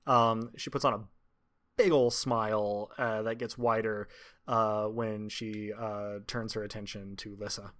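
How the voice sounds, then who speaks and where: A man narrating a tabletop roleplaying game as game master, improvising with a halting, hesitant delivery. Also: lowish voice, little pitch movement, fairly bright tone.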